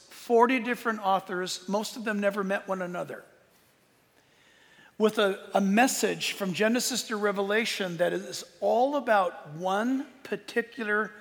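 Guy preaching informally in a big echoey hall, kinda high voice, earnest, pauses for effect. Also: fairly bright tone. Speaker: Bible teacher and tour leader. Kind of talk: informal preaching talk to an audience. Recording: long hall reverb.

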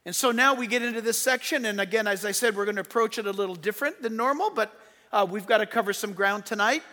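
Man, pastor, sermon, emphatic bursts, high voice, reverberant sanctuary. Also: quick pace, sharp tone. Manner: emphatic preaching with rising bursts. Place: church sanctuary. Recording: long reverb of a hall.

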